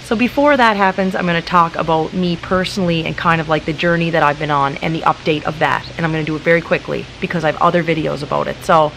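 A woman in her late thirties talking calmly and practically to camera, chatty and casual, recorded outdoors in a garden with some wind. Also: low-pitched, fast, vocal fry, few pauses, low-quality recording. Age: late 30s. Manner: calm, practical, chatty with many likes. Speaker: YouTuber. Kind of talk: casual vlog talk to camera. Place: outdoors in a garden in front of hedges.

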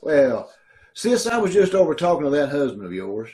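High-voiced, needy radio-comedy brother played by a man. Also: sing-song, moderate pace, dark tone. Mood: pleading, exasperated. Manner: needy, exasperated. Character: pleading, broke brother begging for a loan. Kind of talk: old-time radio comedy acting. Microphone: video call.

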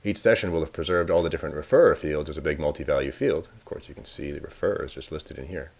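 A man's voice: rumbling low, fast, a little mellow. A man narrating a casual screencast tutorial as the presenter, informally thinking aloud as he works. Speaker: software presenter giving a demo.

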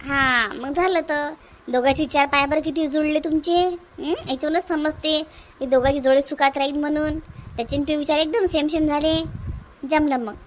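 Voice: high-pitched, steady tone, moderate pace, a little hiss or hum, lightly acted. A performer voicing a fussy, talkative, practical village mother in a Marathi family cartoon, with a Varhadi accent and a homely, rambling manner. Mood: approving, opinionated. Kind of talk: comic family cartoon dubbing.

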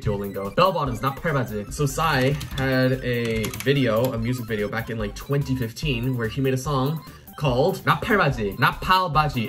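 A man's voice: medium pitch, a bit bright. A man in his twenties, from South Korea, chatting animatedly and exclaiming at his own mistakes while he works through a language-learning app. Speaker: YouTuber teaching Korean. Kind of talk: casual commentary while playing a language app. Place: small room indoors at night.

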